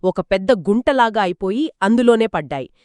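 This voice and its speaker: A woman narrating a moral folktale in an expressive, lively storytelling manner. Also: medium pitch, normal speed, no room sound, studio quality. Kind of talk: scripted two-voice folktale narration.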